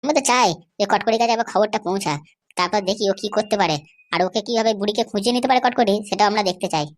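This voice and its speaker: A woman's voice: mid-range voice, racing, lightly acted. A woman voicing a boastful, vengeful male kidnapper who argues brashly in an amateur comedy cartoon.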